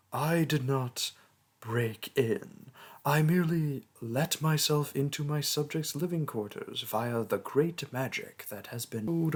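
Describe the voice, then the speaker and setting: Man acting out a slow, husky, pompous mage king. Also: medium pitch. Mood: indignant, imperious. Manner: pompous, theatrical, condescending. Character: pompous, vain mage king, haughty toward his peasant subject. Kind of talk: theatrical roleplay monologue and storytelling.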